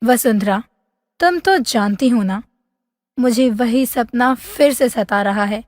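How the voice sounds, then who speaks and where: A woman playing a wistful, lovesick young princess in a fairy tale, with a plaintive, drawn-out, dramatic delivery. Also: on the high side, a little mellow, studio quality. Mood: wistful, troubled. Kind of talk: animated fairy-tale voice acting. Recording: long hall-like reverb.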